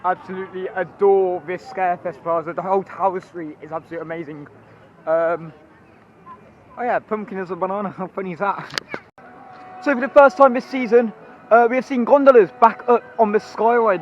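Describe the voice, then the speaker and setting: A hoarse young man, chatty and rambling as he walks through a theme park with his phone. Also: sits high, a little fast, highs cut off. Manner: chatty, rambling, excitable, many ums. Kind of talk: walking vlog commentary.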